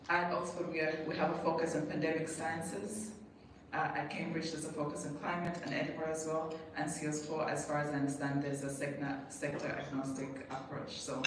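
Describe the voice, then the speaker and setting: A speaker from Tanzania giving a measured public presentation with pauses, as a university programme representative. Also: medium pitch. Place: echoey event hall with audience. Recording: echoey room, distant mic, clearly noisy.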